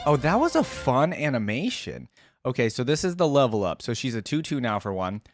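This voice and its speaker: Man, mid-pitched voice, casual reaction host, enthusiastic, chatty with viewers, game music behind. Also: big pitch swings, brisk, no room sound, clean studio sound. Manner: enthusiastic, conversational, addresses viewers as "guys".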